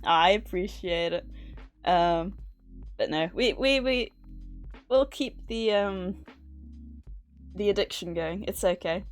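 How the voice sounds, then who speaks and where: A woman's voice: mid-range voice, slow pace, long pauses. Nervous, giggly woman livestreamer over music. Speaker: livestream host. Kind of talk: casual livestream chat. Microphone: streaming microphone at a desk.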